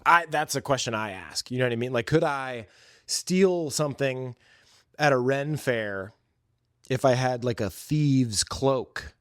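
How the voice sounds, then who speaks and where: A man talks as a podcast host in a rambling, conversational monologue full of casual slang. His pitch sits at a middle level for a male voice, and the recording is close and dry, with hardly any room sound. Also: a little slow, no echo.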